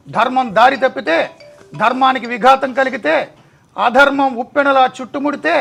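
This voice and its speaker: A man's voice: very high voice, vocal fry, a bit bright. A male TV host delivering a dramatic scripted monologue in short, weighty rhetorical phrases. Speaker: TV show host.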